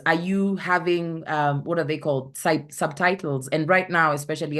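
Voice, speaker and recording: A woman with a Kenyan accent, a content creator talking casually on an online panel, often searching for the right word. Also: low-pitched. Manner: chatty, searches for words, acts out anecdotes.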